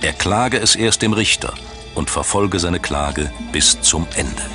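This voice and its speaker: A man narrates a scripted documentary in a low, deep voice. His delivery is measured and dramatic, like formal storytelling, with music running clearly underneath. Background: background music.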